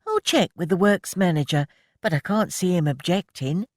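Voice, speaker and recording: A woman's voice: on the low side, lively intonation, no room sound, clean studio sound, pitched above the performer's own voice. A reader voicing Annie, a blunt young woman who works an allotment. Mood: decisive. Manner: blunt, quick to grumble.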